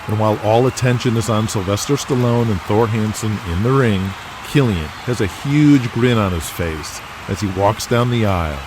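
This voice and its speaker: A man's voice: lowish voice, moderate pace, hardly any pauses, noisy background. A man reading scripted dramatic narration in the present tense as the narrator of an audio drama.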